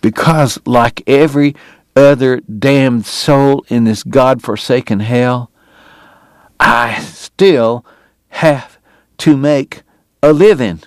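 A man's voice: medium pitch, animated, unhurried, no echo, clean studio sound, pitched above the performer's own voice, natural voice. A man doing a bitter, ailing frontier dentist. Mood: anguished. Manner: pained, proud, formal diction breaking into anger.

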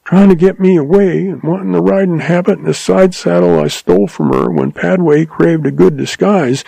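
A wounded young outlaw, as a male narrator puts him on, speaking weakly with fever in his voice. The voice is pushed well above the narrator's own pitch, with a gravelly edge. Close, dry recording. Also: normal speed, warm tone, no echo, exaggerated voice, rough recording. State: weak, feverish.

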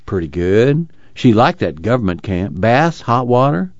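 A reader acts a plain-spoken young farm laborer with a drawl. He is blunt and skeptical, his pitch moving freely in this audiobook. The recording is dry and a little muffled. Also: sing-song, measured pace, no room sound. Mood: glum. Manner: drawling, ungrammatical rural speech.

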